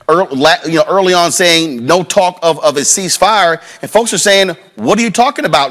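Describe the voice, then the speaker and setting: A man moderating a conversational political discussion, feeling his way haltingly through probing points. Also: a little high, fairly bright tone. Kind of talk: conversational political panel talk.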